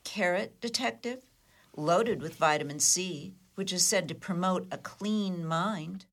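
A woman's voice: lowish voice, unhurried. A woman playing a defiant, flippant suspect in a comedic radio play, wry and haughty with clipped retorts. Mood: defensive, sardonic. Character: defiant, flippant, sardonic woman under police questioning.

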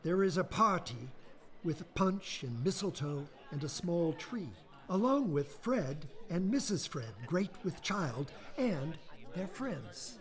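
A man narrating a radio play in a measured, solemn way. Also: a little high, big pitch swings. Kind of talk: scripted storybook narration.

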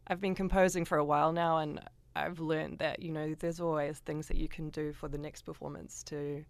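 A woman's voice: deep voice, little pitch movement, normal speed, creaky, no room sound. A woman composer talking thoughtfully in a radio interview, hesitating with frequent ums.

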